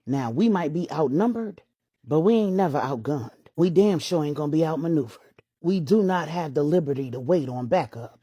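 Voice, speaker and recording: A woman's voice: sits low, expressive, normal speed, mellow, breathy edge, no room sound, studio quality, voice pushed down. A woman voicing a tough male soldier briefing his men in a story, blunt, slangy and defiant. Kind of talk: audio storytelling dialogue.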